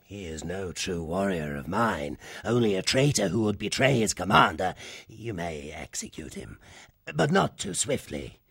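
A man's voice: low-pitched, sing-song, a bit bright, no echo, exaggerated voice. A man voicing a cruel, menacing male wildcat warlord in a storybook reading, speaking with silky, mocking menace. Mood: cold. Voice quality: gravelly, husky, slow and deliberate.

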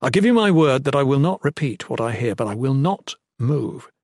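A man's voice: medium pitch, moderate pace, dry recording, clean studio sound, pitched above the performer's own voice. A man voicing a loyal, noble young male guardian who speaks firmly and formally in a fantasy storybook. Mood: resolute. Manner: firm, flat, formal.

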